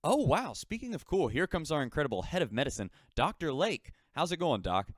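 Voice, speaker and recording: An actor playing a chatty, upbeat radio host aboard a starship in an audio drama. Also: male voice, on the high side, sing-song, a little fast, no echo, clean studio sound.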